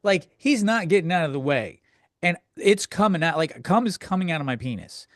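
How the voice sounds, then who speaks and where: A man's voice: a little high, expressive, brisk, no echo, studio quality. A man hosting a comedy podcast, ranting casually in a crude, self-deprecating, rambling conversational way. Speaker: podcast host. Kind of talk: casual comedic solo rant, answering listener questions.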